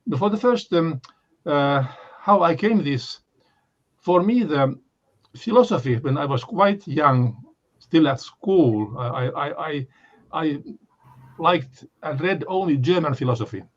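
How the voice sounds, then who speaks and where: An older man from Finland with a Finnish accent, giving an unscripted academic talk in a halting way, full of fillers and restarts. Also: on the high side, lively intonation. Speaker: lecturer. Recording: dull lo-fi recording.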